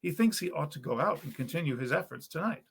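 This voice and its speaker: A man voicing an earnest, good-natured young male student from a storybook, with animated, eloquent old-fashioned speech. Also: fairly high pitch, quick pace, gravelly, slightly rough, exaggerated voice. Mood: thoughtful, warm. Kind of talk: storybook character reading.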